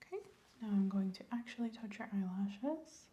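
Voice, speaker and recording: A woman doing an ASMR medical exam roleplay as a doctor, speaking softly and sometimes breathily, gently instructing and reassuring. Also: mid-range voice, animated, normal speed, dull audio. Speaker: ASMR creator playing a doctor.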